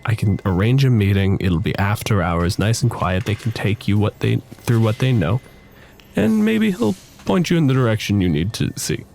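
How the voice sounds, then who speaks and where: A man's voice: fairly low pitch, big pitch swings, normal speed, creaky, darkish tone, slight background noise. A man improvising tabletop game narration as game master in a casual, wry, conversational way.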